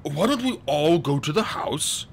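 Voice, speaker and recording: A man voicing a stern, pompous gentleman who admonishes people fussily in an audiobook story. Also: on the high side, animated, unhurried, slight background noise, voice pushed up. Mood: admonishing. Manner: pompous, admonishing, throat-clearing. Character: fussy, stern, pompous adult gentleman, easily offended.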